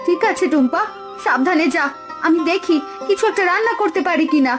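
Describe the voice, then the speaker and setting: Woman as a high-voiced, fretful village mother. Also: animated, brisk. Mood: worried. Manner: fretful, emphatic. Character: worried, poor village mother, scolding yet tender. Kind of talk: cartoon folktale acting. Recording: music behind the voice.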